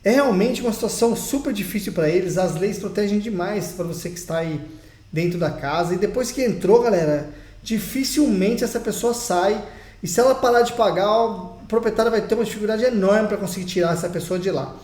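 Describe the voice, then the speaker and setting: High-voiced Brazilian man ranting to viewers. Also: a little fast, a little mellow. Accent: Brazilian Portuguese. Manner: chatty, emphatic, addresses viewers directly. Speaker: vlogger. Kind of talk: casual opinion vlog. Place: home in Portugal. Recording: roomy echo.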